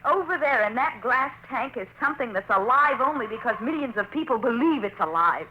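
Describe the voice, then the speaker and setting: Actress doing earnest worried young woman in a 40s radio thriller, high pitched, very dramatic, noisy old recording. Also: lively intonation, a little fast, dull audio, close to an everyday voice. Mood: intense, earnest. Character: anxious, earnest young woman, scientist's daughter. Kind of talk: 1940s suspense radio acting.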